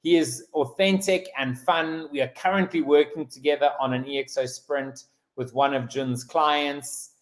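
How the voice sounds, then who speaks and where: A man hosting a live stream interview over a video call, talking haltingly with many restarts and fillers. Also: a little high, fairly even intonation. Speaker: host.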